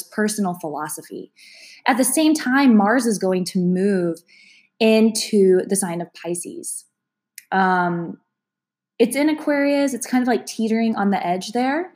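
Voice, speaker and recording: A woman with a cold, sounding congested, giving a casual, chatty astrology forecast to her viewers and laughing now and then. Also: mid-range voice. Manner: chatty, gentle, laughs between sentences. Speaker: astrology vlogger. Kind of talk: casual talk to camera.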